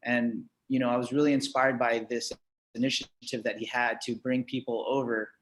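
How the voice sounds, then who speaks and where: A man's voice: mid-range voice, little pitch movement, moderate pace, a little hiss or hum. An American man telling his story informally as a panel guest on a video call, upbeat and often repeating words as he goes. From Los Angeles, United States. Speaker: startup entrepreneur, panel guest.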